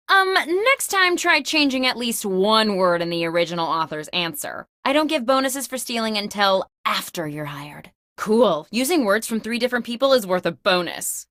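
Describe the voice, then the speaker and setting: A woman's voice: fairly high pitch, bright, forceful tone, no room sound, clean studio sound. A woman playing a scatterbrained, self-absorbed office boss in a comedic video game, breezy, theatrical and bossy. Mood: brisk, upbeat. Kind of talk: scripted comedic video game host lines.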